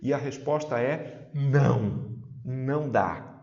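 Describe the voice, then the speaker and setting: A man's voice: medium pitch, takes it slowly, vocal fry, a little mellow. A man with a southern Brazilian accent teaching an informal exam-prep lesson, chatty and didactic, often checking that listeners follow. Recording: roomy echo, slightly dull sound.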